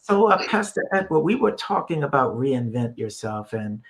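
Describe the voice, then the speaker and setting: Gravelly-voiced man hosting a talk show. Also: mid-range voice, big pitch swings, normal speed, crowd noise, poor audio. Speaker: host of a ministry live stream.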